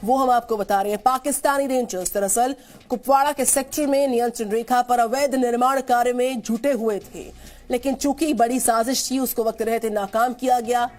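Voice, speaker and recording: A woman's voice: on the high side, steady tone, moderate pace, darkish tone. An Indian woman presenting television news in a formal, emphatic manner. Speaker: news anchor. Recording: studio voice over news music.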